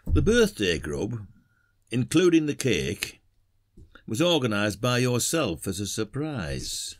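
A man's voice: medium pitch, sing-song, slow. An actor playing a reluctant, wry retired village detective, an older man with an understated manner, in a podcast drama. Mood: probing. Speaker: lead actor.

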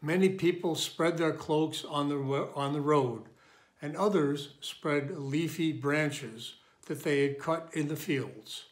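A man in his sixties reading scripture in a measured, deliberate way, recorded in a room at home. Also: a little high. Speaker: lay scripture reader.

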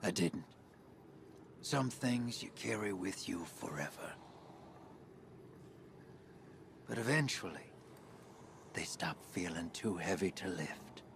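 An actor playing a grizzled, wry male drifter in a game cutscene, drawling slowly and dropping to a hushed rasp. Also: deep voice, lively intonation, full character voice. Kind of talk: video game cutscene acting. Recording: game audio with noisy ambience.

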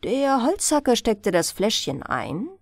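Female audiobook narrator for bedtime fairy tales: slightly high voice, very lively intonation, unhurried. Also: dry recording, studio quality. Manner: expressive, unhurried storytelling. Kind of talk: bedtime fairy tale reading.

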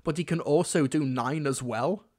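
A man's voice: on the high side, normal speed, darkish tone. A man giving opinionated, semi-scripted review commentary, leaning on key words for emphasis. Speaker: video reviewer.

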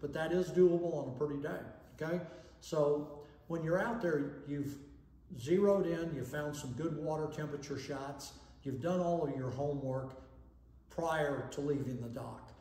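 An older man explains fishing tactics in a folksy, instructive manner. His voice sounds mellow. The recording is roomy, with a long reverb tail from the indoor space. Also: a little high, normal speed. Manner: folksy, explanatory, checks in with "okay?". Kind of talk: instructional talk to camera. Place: indoor room with fireplace and banner. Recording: echoey room, long reverb.

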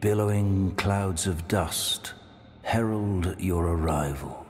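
An actor playing a solemn, ominous ancient preceptor in a video game, speaking with grave, portentous weight. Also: male voice, sits low, slow. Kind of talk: scripted video game villain monologue. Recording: long cavernous reverb, music underneath. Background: music.

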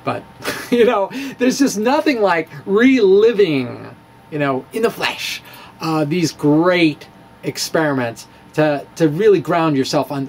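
A middle-aged man reading his blog aloud dramatically into a close microphone, with informal storytelling asides. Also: fairly high pitch. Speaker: physics blogger. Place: small indoor room with bookshelves. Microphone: handheld-style microphone on a stand, close in front. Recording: clear background room noise.

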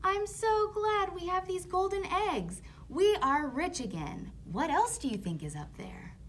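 A woman voicing an excitable, fretful mother in a children's fairy tale, with exaggerated expression. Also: very high voice, measured pace, a little hiss or hum, pitched above the performer's own voice, full character voice. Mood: delighted.

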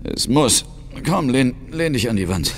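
A man's voice: mid-range voice, very animated, unhurried, gravelly, faint music behind. A man playing a wry, battered but determined ghost hunter in a radio adventure drama, speaking with audible effort. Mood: groggy, wry.

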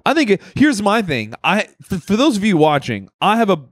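A man's voice: high-pitched, huge pitch swings, a little fast, no echo. A man co-hosting a comedy podcast, rambling through stories in casual chat and laughing often. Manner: loose rambling storytelling, laughs a lot. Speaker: podcast co-host.

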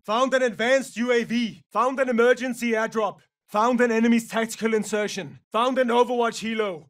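An actor playing a gruff, battle-hungry special forces mercenary in a military game, giving clipped, forceful combat callouts in a gravelly voice. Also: male voice, very high-pitched, distant mic. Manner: clipped, forceful, barked short phrases.

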